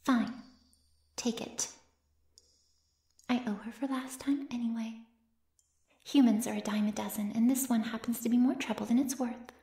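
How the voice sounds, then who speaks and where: A woman's voice: on the high side, steady tone, normal speed, long pauses, mellow, reverberant, close to an everyday voice. A woman voicing a sly, haughty flesh-eating female monster in an ASMR roleplay, mocking and mock-innocent as she savors her words. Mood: grudging, defensive. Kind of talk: ASMR roleplay audio drama.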